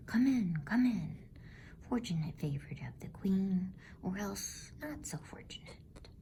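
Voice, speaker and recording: A woman's voice: medium pitch, expressive, unhurried, warm tone, pitched above the performer's own voice. A woman whispering as a menacing growling great wolf. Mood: sneering. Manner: commanding, curt. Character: menacing great gray wolf, witch's police chief.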